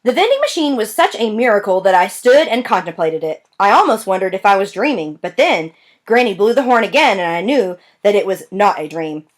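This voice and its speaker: A woman reading a children's novel aloud with expressive storytelling. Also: medium pitch, a little fast. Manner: expressive storytelling with lively voice changes. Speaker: reader.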